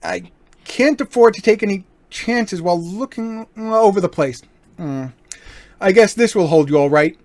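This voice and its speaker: A man playing a suave, menacing gentleman burglar in an old-time radio crime drama, mock-courteous and taunting. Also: sits high, moderate pace, no echo, natural voice. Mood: threatening.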